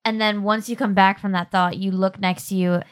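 Woman, game master, casual improvised narration, medium pitch, very little room sound. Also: steady tone, fairly quick, clear, resonant tone, no room sound, studio quality. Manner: casual, conversational.

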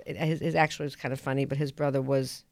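A woman's voice: a bass voice, flat delivery, fast talker, darkish tone, no echo. A woman, hosting a casual radio talk show in a blunt, emphatic way and often repeating herself. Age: 50s. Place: radio studio.

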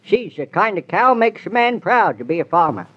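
An actor playing a talkative old farmer with a drawling rustic dialect, in a 1940s radio play. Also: male voice, sits high, very animated, moderate pace. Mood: boastful. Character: folksy old New England farmer, boastful and talkative. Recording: muffled old radio broadcast.

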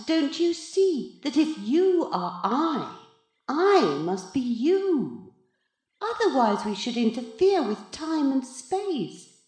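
A woman voicing a smug, gloating cat who has become a boy in a children's story, taunting with drawn-out mock politeness. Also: high voice, a little mellow, roomy sound, pitched above the performer's own voice, heavily acted. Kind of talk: children's storybook character reading.

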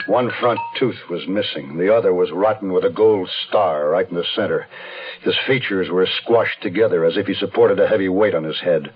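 A low-pitched American man on the run, played by an actor, narrating a noir radio drama in terse, deliberate lines. The old radio recording is dull, with music clearly playing behind him. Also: close to an everyday voice. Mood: grim, tense. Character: tense wounded male fugitive narrating his crime story.

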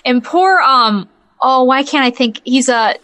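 Bright, high-voiced woman in animated chat. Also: unhurried. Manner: chuckles often while talking. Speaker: podcast co-host. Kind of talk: casual chat.

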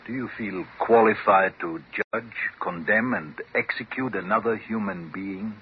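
An actor playing a pompous, defensive psychiatrist, speaking in a formal, measured, hesitant way in a 1950s radio drama. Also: male voice, fairly low pitch, close to an everyday voice. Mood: controlled, wary. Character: pompous, bearded male psychiatrist, measured, defensive under threat. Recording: dull, hissy old 1950s radio recording.

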